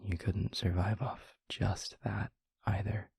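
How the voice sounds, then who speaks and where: Man playing a hushed, husky, gentle vampire boyfriend. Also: lowish voice, level tone, no room sound, clean studio sound, full character voice. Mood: gently explaining. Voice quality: breathy, husky, half-whispered. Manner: soft, hesitant, reassuring, with long pauses. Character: gentle, protective young male vampire maker and boyfriend. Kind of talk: intimate ASMR boyfriend roleplay audio.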